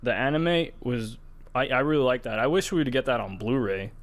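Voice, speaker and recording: A man's voice: mid-range voice, expressive, brisk. A man hosting a podcast, chatting casually and giving slangy, emphatic opinions. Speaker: podcast host. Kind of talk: casual chat about movies and games.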